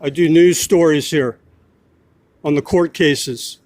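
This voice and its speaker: Man, self-styled reporter, confrontational, repeats questions, slightly high voice, handheld outdoor recording. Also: takes it slowly, a little mellow, no room sound. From United States. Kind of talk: confrontational vlog narration. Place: outdoors on a city street.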